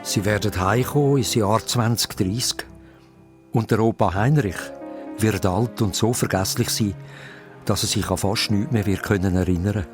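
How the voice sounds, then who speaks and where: A man's voice: on the low side, normal speed, music in the background. A man with a Swiss German accent narrating a children's radio play as dramatised scripted storytelling.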